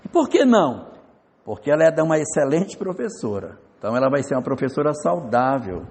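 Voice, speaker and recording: A man giving a spiritist lecture in a lecture hall, telling stories in a lively way and acting out the dialogue. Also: medium pitch, a little fast. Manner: storytelling, lively, acts out dialogue. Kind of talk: religious lecture. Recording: reverberant hall.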